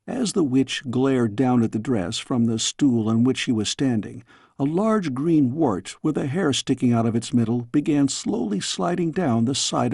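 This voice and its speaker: Guy narrating a fairy tale audiobook, gravelly n husky, measured but expressive. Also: mid-range voice, no echo, clean studio sound. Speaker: audiobook reader. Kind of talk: scripted storybook narration.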